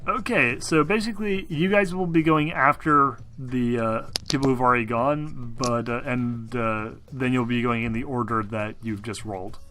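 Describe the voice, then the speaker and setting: A man's voice: medium pitch, steady tone, normal speed, hardly any pauses, pushed and bright. A man acting as game master, narrating and directing players casually among friends.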